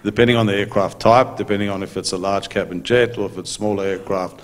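A middle-aged man on a conference panel, speaking as a maintenance company executive in a measured, businesslike way into a handheld mic. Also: fairly low pitch, steady tone. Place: large conference hall stage. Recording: long hall reverb.

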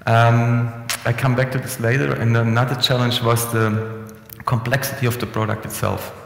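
A man's voice: medium pitch, normal speed, creaky. Young man in a reverberant hall. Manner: relaxed, conversational, frequent restarts and fillers. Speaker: conference speaker. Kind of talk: conference presentation. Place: conference room, indoors. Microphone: headset mic. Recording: echoey hall with long reverb.

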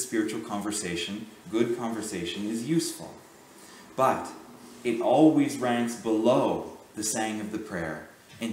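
A man giving a sermon as a priest, speaking in a measured, earnest way with deliberate pauses. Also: medium pitch, darkish tone, reverberant, far from the mic, a little hiss or hum, poor audio.

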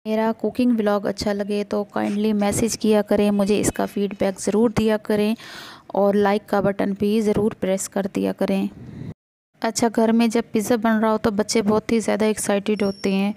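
A woman's voice: medium pitch, little pitch movement, moderate pace, dark tone. A middle-aged woman narrating her home cooking in a chatty voice-over, opening each step with "achha". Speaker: cooking vlogger.

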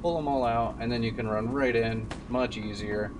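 A man's voice: mid-range voice, fairly even intonation, moderate pace. A man in his twenties giving casual live commentary as he streams a game run. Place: indoor room at a streaming desk. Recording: distant mic, game noise behind. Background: game sound and music.

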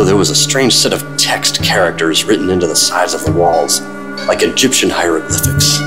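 An actor playing a hard-boiled American government agent, narrating a radio adventure tersely and suspensefully. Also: male voice, fairly low pitch, close to an everyday voice. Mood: ominous. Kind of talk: 1940s radio drama first-person narration. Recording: loud dramatic music behind.

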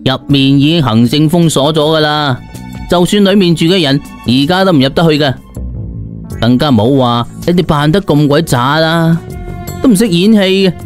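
A man voicing a weary, gruff police officer with a brusque, dismissive manner and a Cantonese accent. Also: a little high, moderate pace, soft background music, lightly acted.

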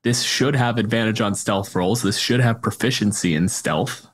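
A man with a fairly low voice speaks in a casual, conversational style. He explains his thinking like a podcast host in an informal discussion. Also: moderate pace. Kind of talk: casual tabletop game discussion.

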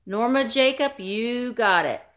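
Bright, animated woman in her forties. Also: on the high side, measured pace, slight background noise. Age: 40s. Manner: animated, talkative, greets viewers by name. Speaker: vintage reseller hosting a live sale. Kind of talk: chatty live selling stream. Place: small indoor room full of vintage decor. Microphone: webcam at desk, close framing.

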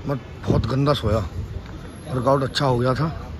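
A man's voice: mid-range voice, moderate pace. A young man vlogging casually on a phone held at arm's length, teasing friends and chatting playfully at the gym, in a car and on the street. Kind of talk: casual vlog chat. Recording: noisy street and gym background.

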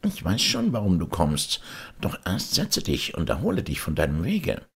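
A man's voice: deep voice, huge pitch swings, moderate pace, creaky, fairly bright tone, husky, pitched above the performer's own voice, heavily acted. A man voicing a small, polite sparrow in a fairy tale, composed and brief in manner.

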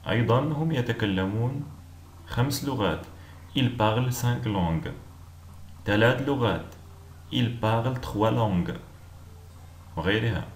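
A man teaching language, reading each short phrase with clear, deliberate pronunciation and repeating it several times. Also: medium pitch. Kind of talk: slow repeated phrase drills. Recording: echoey room with faint noise.

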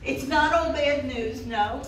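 Older female stand-up comic, high voice, slow bawdy delivery, live in a small room. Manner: bawdy, self-deprecating, punchy delivery with drawn-out pauses. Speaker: stand-up comedian. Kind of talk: live stand-up comedy routine. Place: small cat cafe room with audience, indoors. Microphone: handheld microphone, recorded by camera from audience. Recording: roomy, echoey, distant mic, some room noise.

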